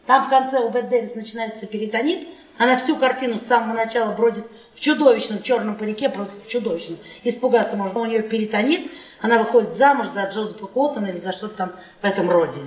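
Echoey, high-voiced, domineering middle-aged wife played by an actress. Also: female voice, fast, distant mic, lightly acted. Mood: scornful, insistent. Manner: bossy, needling, drawling emphasis. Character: loud, domineering, mocking 52-year-old wife. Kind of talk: theatrical radio play acting. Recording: roomy, echoey stage, off-mic.

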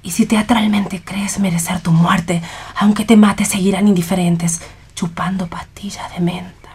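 A woman playing a calm, wise street vendor in a radio play, with a breathy, husky voice and a deliberate, admonishing manner. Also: medium pitch. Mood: gentle admonishing. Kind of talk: read radio theatre.

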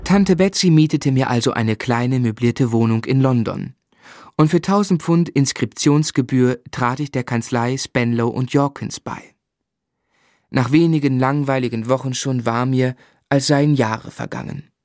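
A man's voice: mid-range voice, unhurried, darkish tone, dry recording, clean studio sound, natural voice. An actor playing an earnest young man who tells his life in articulate literary German radio drama style. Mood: reflective.

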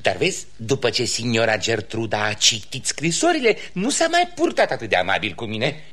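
An actor playing a suspicious, aggrieved baron in a theatrical radio comedy, formal and insistent, repeating himself. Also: male voice, medium pitch, sing-song, fairly bright tone.

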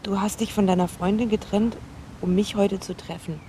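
A woman's voice: mid-range voice, fairly even intonation, moderate pace, dark tone, close to an everyday voice. A woman acts a young actress confronted by an ex in a radio drama. Her voice is mid-range, conversational and blunt, as if improvised. There is faint noise behind her. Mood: incredulous, uneasy.

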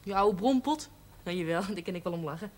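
A young woman with a Dutch accent playing a brash, quick-tongued miner's daughter in a 1970s TV drama. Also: lowish voice, animated, natural voice. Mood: cheeky.

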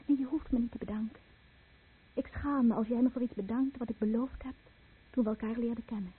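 A very husky, tender wife. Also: female voice, on the high side, steady tone, fairly quick. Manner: solemn, tender. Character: devoted, earnest fisherman's wife, tender and exacting. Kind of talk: radio play acting. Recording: phone-like old radio recording.

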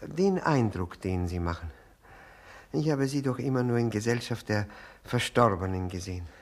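An actor playing a servile, obsessive, self-abasing widower in a 1960s radio drama, halting and pleading, repeating his words. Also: medium pitch, expressive, close to an everyday voice. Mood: pleading, agitated. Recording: 1960 radio play recording.

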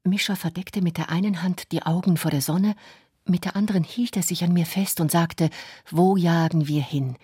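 A woman's voice: lowish voice, normal speed, warm tone, husky, no echo, studio quality. An actress playing a writer's wife, reading her memoir in a sombre, restrained way for a radio drama. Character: writer's wife recalling bitter memories, sombre.

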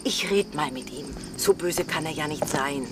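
A woman playing a concerned, firm neighbour in a radio crime drama, speaking in a measured, reasoning way. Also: low-pitched, natural voice. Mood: soothing, concerned. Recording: noisy outdoor insect ambience.

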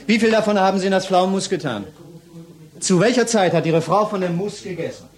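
A commanding police interrogator acted by a man with a high-pitched male voice. He is stern, curt and accusing, pressing his questions in the manner of a 1960s radio crime play. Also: brisk, close to an everyday voice. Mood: probing, curt. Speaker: actor in a radio crime drama. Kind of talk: 1960s German radio drama acting.

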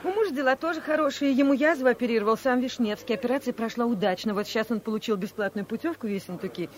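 A woman's voice: a little high, fast, crowd noise, lightly acted. An actress playing a chatty, fussy middle-aged office woman in a 1970s radio play, eager and meddling. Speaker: office worker.

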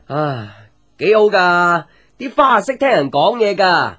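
A man's voice: high voice, very animated, measured pace, fairly bright tone, heavily acted. An actor playing a chatty, boyish young man with a Hong Kong Cantonese accent, telling stories playfully and confidingly in a radio drama. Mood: chatty, light. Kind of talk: radio drama acting, casual storytelling chat.

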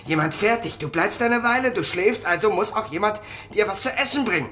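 An actor playing a suspicious, menacing fugitive, agitated and threatening, in a crime radio drama. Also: male voice, high-pitched, lively intonation, brisk, pushed and bright, slight background noise. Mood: suspicious, tense. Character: volatile, suspicious male armed fugitive, menacing.

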